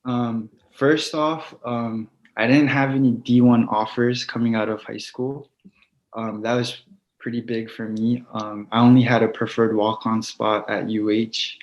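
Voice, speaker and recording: A young man who grew up in Hawaii, talking casually in an online interview about his football plans, soft-spoken with many fillers. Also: medium pitch, flat delivery, measured pace. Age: young adult. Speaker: football player guest. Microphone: video call. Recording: dull lo-fi video call audio.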